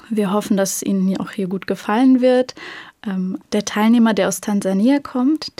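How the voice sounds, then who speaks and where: A woman's voice: medium pitch, darkish tone, dry recording, studio quality. A woman who leads a youth program, answering interview questions in a friendly way with many hesitations.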